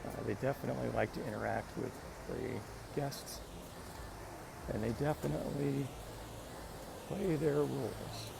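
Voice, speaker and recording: Male walking-stream host, mid-pitched and heavy on gravel, friendly off-the-cuff commentary, recorded outdoors with park music. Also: unhurried, long pauses, background noise. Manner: friendly, greets viewers by name. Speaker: livestream host. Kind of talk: casual walking livestream commentary, reading out viewer comments. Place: outdoors in a busy theme park. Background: background music.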